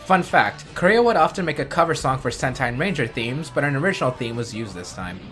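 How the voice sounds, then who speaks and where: A man's voice: mid-range voice, sing-song, fast talker, a bit bright. A man reading scripted narration for an explainer video as its host. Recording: music bed under the voice. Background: background music.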